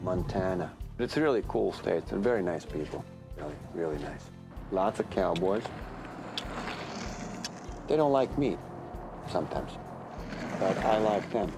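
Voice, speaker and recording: A man in his fifties with a Latvian accent, reflecting in an interview in a halting way, with pauses and restarts. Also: sits low, expressive, over music. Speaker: athlete recalling a journey.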